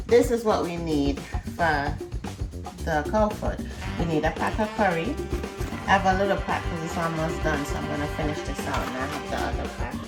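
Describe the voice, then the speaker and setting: A woman's voice: low voice, creaky, recorded from a distance. A woman chatting casually to viewers as she hosts a cooking demonstration. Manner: chatty, conversational, addresses viewers directly. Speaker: cooking vlog host. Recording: music behind, roomy, off the mic. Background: music.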